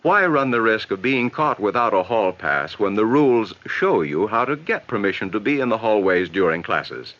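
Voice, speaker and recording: An American man reading scripted, instructive narration in a measured, advising way for a 1960s educational film. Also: fairly low pitch, lively intonation, fairly bright tone. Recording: dull vintage 1960s film soundtrack.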